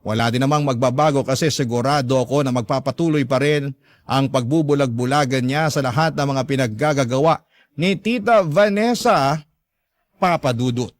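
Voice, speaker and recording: A man's voice: mid-range voice, normal speed, dry recording. A man with a Filipino accent narrating a first-person story as a radio host, in an earnest, confiding and polite way.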